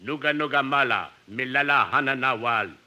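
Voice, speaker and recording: A man's voice: mid-range voice, moderate pace, bright, forceful tone. A man playing a grumbling porter who talks in mock jungle gibberish in a 1950s radio comedy. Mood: discontented. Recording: old radio broadcast recording.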